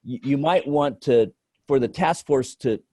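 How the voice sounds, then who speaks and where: An American man giving informal advice on a conference call, phrasing his points haltingly. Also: mid-range voice, dark tone, no echo, studio quality. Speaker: featured guest consultant. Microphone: video call microphone.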